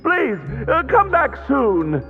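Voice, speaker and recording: A man's voice: very high voice, huge pitch swings, slow, vocal fry, lo-fi sound, faint music behind, heavily acted. An actor playing a timid, polite, flustered man in a video game, hesitant, stammering and apologetic. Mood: anxious, polite. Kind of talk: video game character dialogue.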